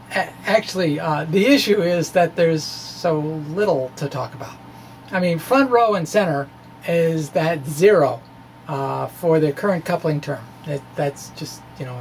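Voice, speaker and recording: Man explaining physics informally, noisy recording. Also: on the high side. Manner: hesitant, halting, conversational asides. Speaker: physics blogger presenting derivations.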